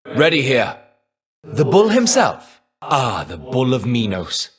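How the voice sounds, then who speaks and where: An actor playing the shade of a fallen Greek warrior in a video game, wry, reserved and melancholy, with a measured, understated delivery. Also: male voice, medium pitch, huge pitch swings. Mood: resolute. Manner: measured, understated, wistful, trailing off at phrase ends. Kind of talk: video game character voice lines.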